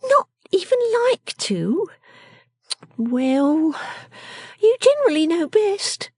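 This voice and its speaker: A woman voicing a sharp-tongued, sickly young girl dressmaker from a storybook, bossy, scolding and snappish. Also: extremely high pitch, big pitch swings, slow pace, dry recording, clean studio sound, pitched above the performer's own voice, full character voice. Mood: vengeful, sharp. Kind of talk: storybook character acting.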